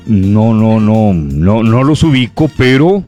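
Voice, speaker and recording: A chatty Costa Rican man hosting a comedy radio show, sending greetings to listeners. His pitch rolls up and down in a lively way, with faint music playing underneath. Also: slow. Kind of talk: casual comedy radio chat.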